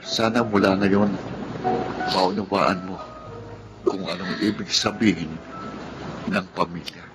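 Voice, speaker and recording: A man playing a gentle, earnest, protective blind older brother in a Filipino radio drama. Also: deep voice, steady tone, slow pace, loud music behind the voice, poor audio. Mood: earnest, reassuring. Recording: clear background ambience noise.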